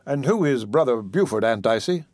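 A man's voice: mid-range voice, expressive, a little mellow, no echo, clean studio sound, close to an everyday voice. A man playing a formal young prosecutor. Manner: formal, measured courtroom questioning. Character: young Southern prosecuting attorney, formal, composed.

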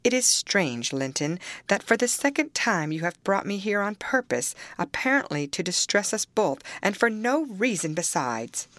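A woman's voice: mid-range voice, sing-song, normal speed, hardly any pauses, fairly bright tone, no echo, pitched above the performer's own voice. A woman reading aloud, voicing a proud young woman who scolds sharply and demands answers. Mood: indignant.

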